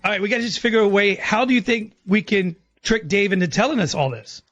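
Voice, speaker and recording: A man's voice: sits high, brisk, a bit bright. A man hosting a radio show, talking in a casual, chatty style. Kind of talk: casual radio banter and prank calling. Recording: slightly muffled lo-fi audio.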